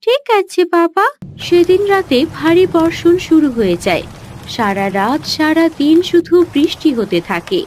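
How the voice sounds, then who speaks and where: A woman's voice: high-pitched, big pitch swings, normal speed, darkish tone, a little hiss or hum. A woman reading scripted narration for a cartoon story.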